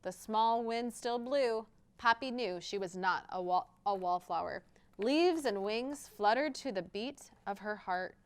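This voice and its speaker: Lady doing library storytime, really clear smooth voice, expressive, talking to little kids. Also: medium pitch, measured pace. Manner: expressive, addresses children directly. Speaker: library storytime host and children's reader. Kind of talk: picture-book read-aloud with interactive rhymes for young children.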